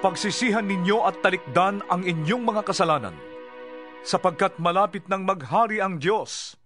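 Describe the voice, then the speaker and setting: Man playing a firm calm teacher figure, steady declaring lines, music bed. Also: high-pitched, moderate pace, voice pushed up.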